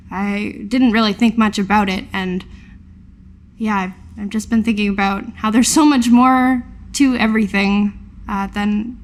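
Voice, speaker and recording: A woman acting as a thoughtful, humble tower keeper in a tabletop game. She speaks earnestly and haltingly, feeling for each word in a middle-pitched female voice. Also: lightly acted. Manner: halting, searching for words.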